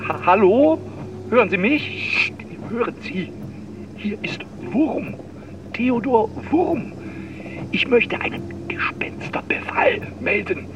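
A man's voice: high-pitched, big pitch swings, slow, whispered, lo-fi sound, full character voice. An actor playing a timid, flustered male castle caretaker, stammering and repeating himself on an answering machine recording. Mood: flustered, nervous. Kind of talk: children's radio play acting. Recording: noisy, distant answering machine tape.

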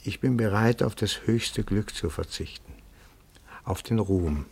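An actor playing a timid, pedantic petty-bourgeois amateur detective in a radio play, speaking formally and deferentially with meticulous phrasing. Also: male voice, on the low side, a little slow, creaky, a little mellow, husky, exaggerated voice. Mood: reverent, confessing.

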